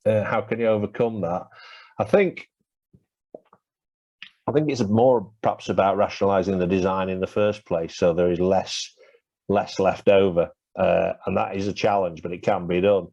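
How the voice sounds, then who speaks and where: Low flat-voiced man presenting a webinar. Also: normal speed, vocal fry, no echo. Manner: hesitant, many fillers and restarts. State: losing his voice. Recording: dull lo-fi webinar audio.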